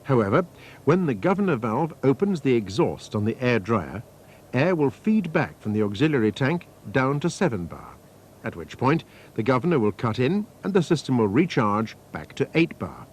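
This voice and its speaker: A man reading scripted technical instructions as a training film narrator, in a measured, methodical, formal manner. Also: medium pitch, very animated. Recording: faint noise, archival training film soundtrack.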